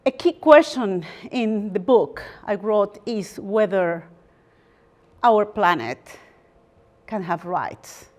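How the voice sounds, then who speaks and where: A woman from Peru, a barrister giving a formal university lecture in a measured, thoughtful way with frequent pauses. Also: mid-range voice, animated, darkish tone. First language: Spanish.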